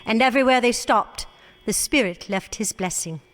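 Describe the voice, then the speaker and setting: A woman's voice: a little high, moderate pace. A woman telling a classic story as narrator of a radio drama, theatrical and wry. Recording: reverberant hall.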